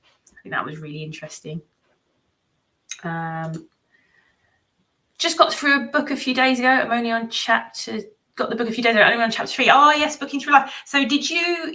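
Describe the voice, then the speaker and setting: Woman, bright voice, chatty livestream host, informal, hesitant, thinking aloud. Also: a little high. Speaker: host of a book readalong livestream. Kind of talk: casual live-stream chat, reading out comments and cards.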